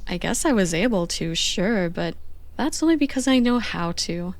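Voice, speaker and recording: A woman's voice: medium pitch, animated, moderate pace, close to an everyday voice. Woman doing a playful bossy young girlfriend for asmr roleplay, teasing chatty delivery, no room echo at all. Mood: smug, teasing.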